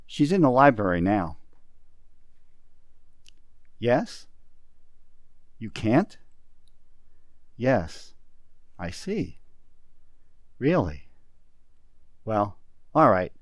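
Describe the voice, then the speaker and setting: A man playing a brisk, practical, insistent business associate in an old-time radio drama. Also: mid-range voice, dry recording, studio quality. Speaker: amateur community theater actor.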